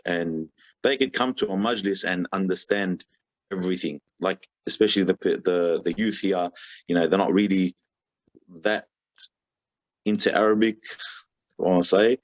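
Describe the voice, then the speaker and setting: Deep-voiced aussie guy on a podcast, rambly, lots of you know and sort of, dull dry lo-fi sound. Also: no room sound. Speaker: podcast guest, religious reciter. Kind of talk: casual conversational interview.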